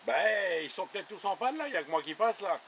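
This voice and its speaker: A man's voice: sits high, sing-song, fast, a bit bright, a little hiss or hum, low-quality recording. A man chatting casually as an amateur radio operator, in a colloquial, easygoing way. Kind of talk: casual radio contact chat. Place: radio station with a camera running.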